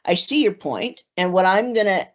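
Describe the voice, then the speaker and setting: A woman chairing a committee meeting, diplomatic and gently redirecting speakers. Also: mid-range voice, expressive, moderate pace, breathy edge. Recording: dull lo-fi meeting audio.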